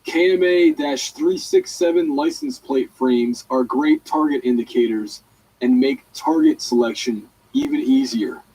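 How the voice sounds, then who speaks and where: A man's voice: a little high, creaky, warm tone, husky, low-quality recording. A man reading a written document aloud in the manner of an audiobook reader.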